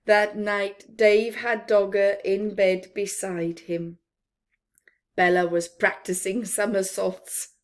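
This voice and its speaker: A woman reading a picture book aloud to young children, slow and deliberate, with dramatic, hushed storytelling delivery. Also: medium pitch, level tone.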